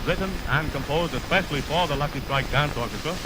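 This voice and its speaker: Scratchy vintage radio recording, American man, higher-pitched voice, formal salesmanlike announcer, scripted delivery. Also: fast talker. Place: radio broadcast studio. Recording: noisy, scratchy 1930 radio aircheck.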